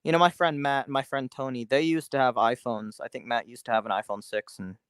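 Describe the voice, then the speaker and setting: A man's voice: mid-range voice, fairly even intonation, a little fast, fairly bright tone, clean tone, no room sound, clean studio sound. Chatty man hosting a casual livestream. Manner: chatty, opinionated, rambling with restarts. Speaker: livestream host. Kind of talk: casual chat.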